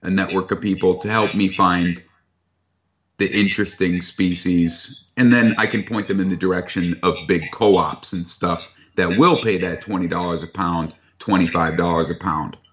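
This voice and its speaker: A man speaking as a chef in a casual interview, relaxed, talkative and frank. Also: low-pitched, moderate pace. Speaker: chef who buys from foragers. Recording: dull lo-fi sound.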